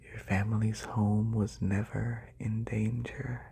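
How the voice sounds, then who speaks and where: Man as a slow, whispering haughty lamia noble. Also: on the low side, little pitch movement, dark tone, heavily acted. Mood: composed, condescending. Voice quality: breathy, whispery. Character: haughty, wealthy lamia noble testing a new servant. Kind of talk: soft-spoken whispered ASMR roleplay. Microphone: close binaural microphone.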